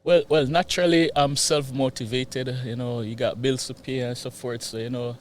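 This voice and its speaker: Relaxed Jamaican man, faint background hum. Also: mid-range voice, normal speed. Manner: relaxed, laughs often, repeats "yeah" and "you know". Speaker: guest, real estate investor. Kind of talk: casual interview chat.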